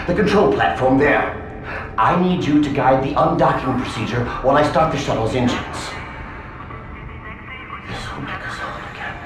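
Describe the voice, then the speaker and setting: An actor playing an anxious, obsessive scientist in a horror game, intense and urgent, desperate for redemption. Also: male voice, a little high, close to an everyday voice. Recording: very echoey, voice off the mic.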